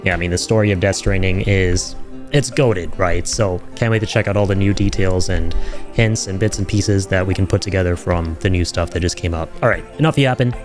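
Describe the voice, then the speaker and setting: A man hosting reaction videos, speaking in an excited, chatty, rambling way to his viewers. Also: deep voice, brisk, music in the background. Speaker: streamer and video host reacting to game trailers. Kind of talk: excited casual commentary to viewers.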